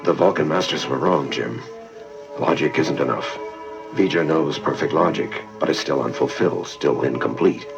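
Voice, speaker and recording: Grave male alien officer for sci-fi read-along: extremely low, creaky, deliberate, logical. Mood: moved, reflective. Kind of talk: read-along record dramatization. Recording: dull vintage read-along record with music.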